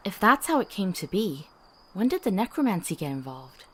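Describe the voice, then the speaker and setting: A woman playing a kind, tactful traveling companion in a visual novel, gentle and earnest. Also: mid-range voice, very animated, normal speed. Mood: earnest, consoling.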